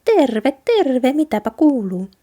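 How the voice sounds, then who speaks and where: Little storybook mouse bride, played by a woman, high-pitched, brisk, unworried, soft-edged tone. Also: sing-song. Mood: kindly curious. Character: small brisk cheerful female mouse, reassuring would-be bride.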